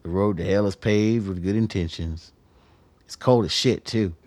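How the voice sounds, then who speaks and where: Tough, weathered guide played by a man: lowish voice, blunt and laconic, radio-play delivery, close dry sound. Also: normal speed, darkish tone, dry recording, natural voice. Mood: gruff, wry. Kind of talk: radio drama acting.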